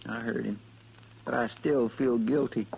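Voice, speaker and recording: A man's voice: medium pitch, fairly even intonation, unhurried, creaky, breathy edge. A man acting an anxious, folksy American deputy sidekick in an old Western radio drama, deferential and hesitant. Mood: worried, guilty. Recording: dull, noisy old radio recording.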